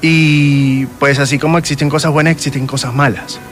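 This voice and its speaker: A man's voice: on the high side, level tone, normal speed, soft background music. A man with a Venezuelan accent, a guest telling personal stories conversationally and often checking that the listener follows. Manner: frequent check-in tags. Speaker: guest, self-described medium.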